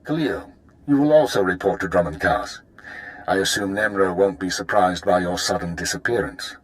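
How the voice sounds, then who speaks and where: A man acts a sci-fi game intelligence chief. His voice is low and authoritative, with a formal, measured delivery. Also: close to an everyday voice, poor audio. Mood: businesslike. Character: composed, authoritative male imperial spymaster.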